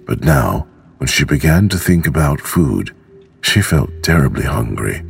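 A man reading a bedtime storybook in a gentle, measured, unhurried way. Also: very deep voice, vocal fry. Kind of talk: slow scripted storybook narration for sleep.

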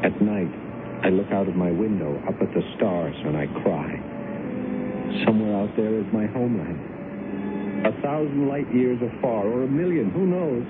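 A low, plaintive alien man in his thirties, acted by a man for radio drama. He sounds earnest and homesick. The old broadcast is thin and heavily noisy. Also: animated, moderate pace, narrow, tinny audio, close to an everyday voice. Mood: lonely, anguished. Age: 30s. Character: lonely homesick male alien posing as Earth man.

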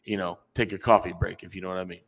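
A man's voice: deep voice, little pitch movement, fast, a bit bright, in a large hall. A man co-hosting a wrestling review podcast in a casual, joking way. He makes folksy asides and often stops and restarts his sentences. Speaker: podcast co-host.

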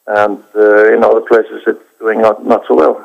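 A man from Iceland, a documentary director answering interview questions in a halting way, with many hesitations and restarts. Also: lowish voice, little pitch movement, creaky. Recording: dull lo-fi recording.

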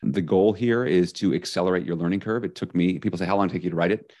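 A man being interviewed as an author on leadership, talking in an energetic, emphatic way. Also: low-pitched, fast talker, no echo, clean studio sound. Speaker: podcast guest, leadership author. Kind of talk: casual interview talk.

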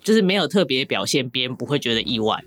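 A woman's voice: rumbling low, big pitch swings, fast talker, fairly bright tone. A woman with a Taiwanese Mandarin accent explaining things casually as a podcast guest, with wry, rambling humour.

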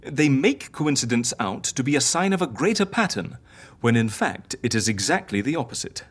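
A woman's voice: very deep voice, very animated, normal speed, slightly rough, heavily acted. An actress playing a confident, theatrical woman who fakes deaths for clients, lecturing and coaxing in an audio drama. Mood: didactic, philosophical. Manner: didactic, encouraging, philosophical.

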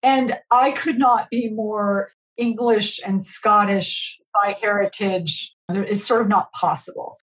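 A woman's voice: medium pitch, steady tone, takes it slowly, few pauses, poor audio. A middle-aged woman from California, a podcast guest speaking in an earnest, reflective way about her own life. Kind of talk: podcast interview, reflective storytelling.

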